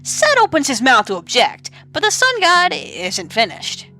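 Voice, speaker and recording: A woman narrates a dramatic story with suspense. Her voice is bright and clear-edged, with animated pitch, over a faint bed of music. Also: a little slow. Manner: expressive, suspenseful.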